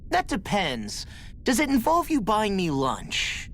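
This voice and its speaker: A woman's voice: medium pitch, wildly swooping pitch, slow pace, slight background noise, exaggerated voice. A woman voicing a wry, teasing young fighter in a video game, casual and sardonic. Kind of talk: video game dramatic acting.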